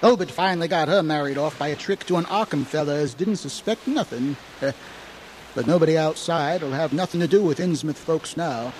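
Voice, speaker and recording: Male actor as old New townsman, high cackling voice, frightened, unhinged, rambling country dialect, horror audio drama, faint background noise. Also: moderate pace, darkish tone, lightly acted, low-quality recording. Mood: conspiratorial, grim. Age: older. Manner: rambling folksy dialect, agitated.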